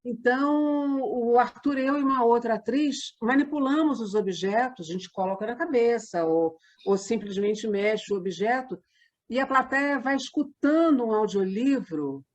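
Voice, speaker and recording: Brazilian woman, theatre company member, fairly high voice, conversational interview delivery. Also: expressive, unhurried, muffled recording. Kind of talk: conversational interview, explaining her work.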